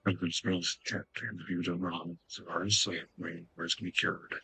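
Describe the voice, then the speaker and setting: Very husky low-voiced man streaming. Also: little pitch movement, a little fast, bright, forceful tone, rough recording. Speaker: streamer playing a game. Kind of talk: casual off-the-cuff chat.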